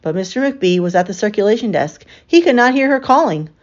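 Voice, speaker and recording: A woman reading a picture book aloud as an expressive storyteller for children. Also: mid-range voice, brisk. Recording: slightly dull, lo-fi sound.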